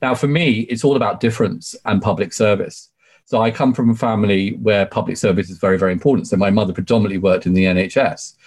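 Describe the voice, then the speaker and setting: A British man in his forties talks as an interview guest in a fairly low voice. He is talkative and emphatic, telling his own story conversationally and often dropping in "you know". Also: fast talker, a little mellow, slightly rough. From UK, born in Sri Lanka. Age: 40s. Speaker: podcast guest, barrister.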